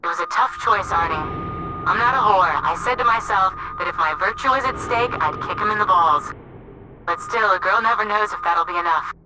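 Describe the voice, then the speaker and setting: A woman's voice: mid-range voice, a little fast, noisy background, close to an everyday voice. An actress playing a tough but evasive female spaceship pilot in a video game cutscene, blunt and earnest as she justifies herself. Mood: confessing, pleading. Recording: loud music over the voice.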